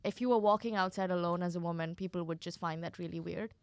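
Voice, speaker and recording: Comedy guest, young woman, low voice, giggly and foul-mouthed, dry close sound. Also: quick pace, clear, resonant tone, no echo. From Kuwait. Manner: laughing, self-deprecating, swearing freely. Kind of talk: casual podcast chat.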